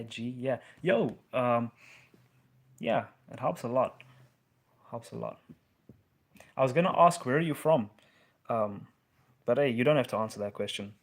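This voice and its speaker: A young man talking loosely into his phone on a live stream, full of slang like "bro" and "dope". His pitch sits in the middle and his delivery is relaxed and conversational. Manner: laid-back slang, calls listeners bro. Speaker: live-stream host answering viewer questions. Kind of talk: casual live-stream chat.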